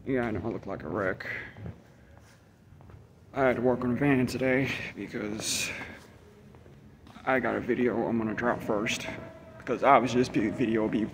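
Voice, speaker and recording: A man's voice: steady tone, normal speed, pauses often. A young adult American man chatting casually as a vlogger, rambling and trailing off as he thinks aloud into a handheld phone. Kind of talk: casual rambling vlog chat. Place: mostly inside a van, partly in an empty mall. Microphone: phone at arm's length. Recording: noisy handheld recording with vehicle rumble.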